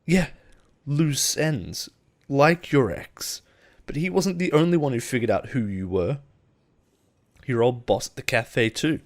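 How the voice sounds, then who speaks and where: A man playing an obsessive, possessive boss in love with his assistant, in an intimate audio roleplay with halting, pause-filled delivery. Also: mid-range voice, expressive. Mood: menacing, protective. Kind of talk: intimate dramatic roleplay monologue.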